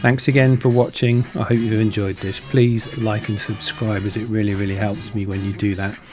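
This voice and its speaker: A middle-aged man talks casually as the host of a hobby build video. His voice sits on the low side. Light music plays behind him. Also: flat delivery, normal speed. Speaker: host of a prop-making channel.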